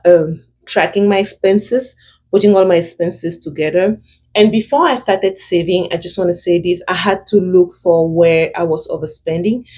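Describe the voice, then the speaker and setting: A young woman with a thick French-speaking accent, giving casual money advice to her viewers, pausing often with fillers and restarting her sentences. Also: fairly low pitch, warm tone, slightly rough. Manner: hesitant, many fillers and restarts. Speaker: vlogger. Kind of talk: casual personal finance advice.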